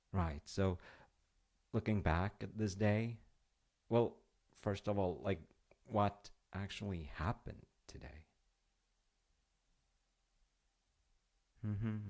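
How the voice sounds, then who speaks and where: Male actor as comforting, slightly goofy dad for intimate roleplay: very low, gravelly, soothing, conversational. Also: darkish tone, full character voice. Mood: gentle concern. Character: gentle, reassuring, slightly goofy dad comforting his child. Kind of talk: intimate roleplay monologue with storytelling.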